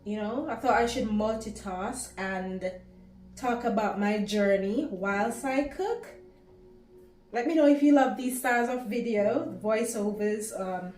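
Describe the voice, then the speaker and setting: A woman's voice: mid-range voice, unhurried, darkish tone, distant mic. A Jamaican woman in her twenties talking casually about her life while she cooks at home, chatty and rambling with small restarts. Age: 20s. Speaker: small YouTuber. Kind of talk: casual talk while cooking. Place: home kitchen. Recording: roomy, from off the mic, faint music.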